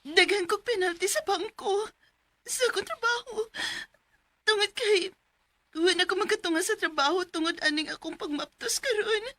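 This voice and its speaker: An actress playing a worried young wife in a radio drama, coaxing and plaintive, with a Cebuano Filipino accent. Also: female voice, extremely high pitch, normal speed, fairly bright tone. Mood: worried, pleading.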